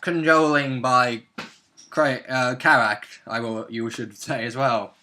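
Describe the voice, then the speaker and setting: A man's voice: medium pitch, fairly even intonation, slow pace, bright, forceful tone, slight background noise. A young man, a player and co-host, chatting casually about a roleplay game at home. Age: young adult. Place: small room at home, indoors.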